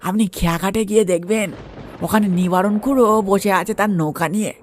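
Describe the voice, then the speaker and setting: A man voicing a sly, obliging tea seller in a cartoon ghost story, with a servile, drawn-out, wheedling way of talking. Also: sits high, slight background noise, voice pushed up, lightly acted. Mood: sly, coaxing.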